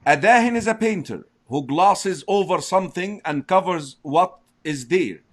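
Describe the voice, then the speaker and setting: A man from Egypt and an Arabic speaker, teaching as a sheikh in English. He explains slowly and recites Arabic verses. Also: sits high, expressive. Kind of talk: religious teaching discussion.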